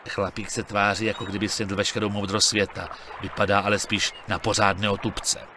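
A man's voice: on the low side, little pitch movement, noisy background, lightly acted. A man voicing a sarcastic young monk adventurer in an adventure game, dry and mocking in his remarks. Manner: dry, mocking, quick-witted. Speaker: game protagonist.

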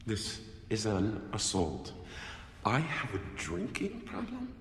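Sardonic middle-aged film analyst, indignant, theatrical, slow and deliberate, electronically altered voice, echoing reverb. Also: male voice, on the low side, huge pitch swings, fairly bright tone, full character voice. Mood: outraged, indignant. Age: 50s. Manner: theatrical, deliberate, profane, incredulous. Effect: electronic voice changer. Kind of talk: film acting. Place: small office. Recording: echoey hall-like reverb, background noise.

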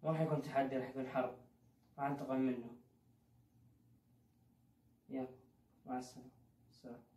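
A young man, reciting Quran in a melodic chant and talking quickly on the phone in a living room. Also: medium pitch, level tone, mellow. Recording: echoey, distant, muffled camera audio.

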